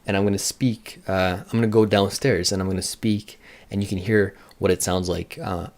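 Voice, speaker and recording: Low-voiced man casually demonstrating a product. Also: fairly even intonation, moderate pace, darkish tone. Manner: matter-of-fact, thinking aloud. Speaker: product reviewer.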